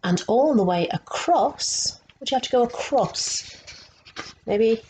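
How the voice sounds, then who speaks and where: A woman reading a picture book aloud to children, slow and expressive, stopping to ask them questions. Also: a little high, a little hiss or hum, rough recording. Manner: slow and expressive, asking the young listeners questions.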